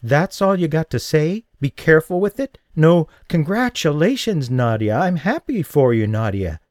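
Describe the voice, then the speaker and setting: A man voicing a boisterous, quick-tempered young woman who squeals and swears, in an audiobook. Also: a little high, big pitch swings, darkish tone, no room sound, clean studio sound, pitched above the performer's own voice. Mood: furious. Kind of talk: audiobook character voice.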